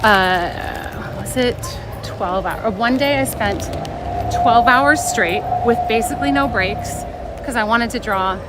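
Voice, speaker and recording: A woman's voice: on the high side, slow pace, over loud music. A woman chatting casually on a livestream, playful and teasing, often breaking off and restarting her thoughts as she answers viewers. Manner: playful, teasing, self-interrupting. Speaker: live streamer reading and answering chat. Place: outdoors in a busy theme park. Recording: noisy outdoor park ambience. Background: outdoor park ambience.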